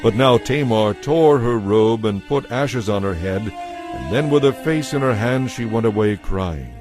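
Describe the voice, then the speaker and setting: A man's voice: lowish voice, animated, measured pace, music in the background. A man giving a solemn, scripted dramatic narration of scripture as storyteller.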